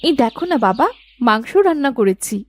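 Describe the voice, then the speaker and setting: A woman voicing a cocky, dismissive young elder sister in an animated fairy tale. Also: a little high, normal speed, close to an everyday voice. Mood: confident.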